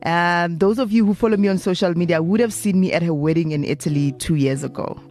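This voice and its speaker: A woman's voice: lowish voice, fast talker, darkish tone, soft background music. A woman hosting a travel podcast, talking in a chatty way and laughing often. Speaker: podcast host.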